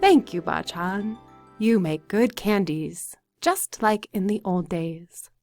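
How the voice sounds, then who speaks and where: Female reader as old man storyteller, nostalgic, gentle, slow-paced, very varied intonation, storybook character. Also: mid-range voice, lively intonation, soft background music. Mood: fond. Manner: wistful, murmuring to himself, hesitant ums.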